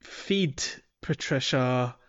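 A man's voice: mid-range voice, very slow, muffled recording. A man hosting a podcast, talking casually through a video game in a hesitant, explanatory way with colloquial British phrasing. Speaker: podcast host.

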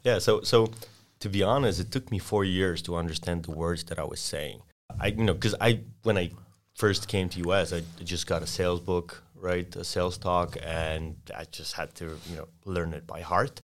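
A man in his forties from Poland with a Polish accent, a sales consultant talking casually and acting out an eager, rushed door-to-door pitch. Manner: fast, eager salesman patter with frequent repeats. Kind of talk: casual interview, role-playing a sales pitch.

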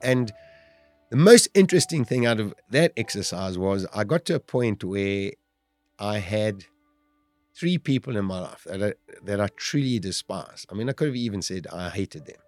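A South African man in his fifties telling his own survival story in a loud, animated way. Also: normal speed, dry recording, faint music behind. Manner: loud, animated storytelling with big pitch jumps. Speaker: guest storyteller.

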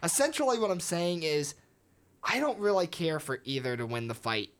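American female co-host, low and animated, teasing and chatty. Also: moderate pace. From United States. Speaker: podcast co-host. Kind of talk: casual sports podcast chat.

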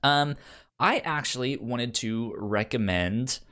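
A man chatting casually as a podcast co-host, halting, with a stammer that repeats sounds and syllables. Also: mid-range voice, bright, forceful tone. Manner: halting, conversational. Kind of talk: casual podcast chat recommending comics.

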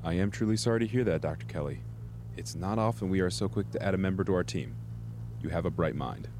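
Guy acting polished senior researcher in audio drama, lowish voice, polite and measured, lots of background noise. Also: lightly acted. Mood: calm, apologetic. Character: composed senior male research scientist, smoothly justifying dubious experiments. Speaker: actor in a student audio drama.

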